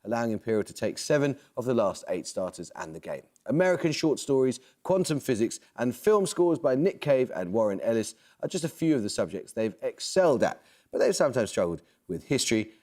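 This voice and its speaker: Crisp, measured quiz-show host man. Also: medium pitch. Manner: crisp, measured, lively intonation. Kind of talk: scripted quiz presenting. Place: television studio.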